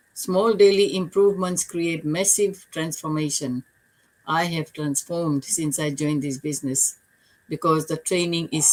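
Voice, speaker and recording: Retired woman from Fiji, earnest and steady. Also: on the low side, low-quality recording. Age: older. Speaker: panelist, retired business mentor. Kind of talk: online panel talk.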